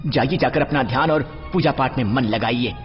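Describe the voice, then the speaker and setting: A man voicing a cocky, boastful young monkey hero in an animated film dub, excitable and cheeky. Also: mid-range voice, fairly quick, lightly acted. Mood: defiant, indignant. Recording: dull lo-fi audio under music.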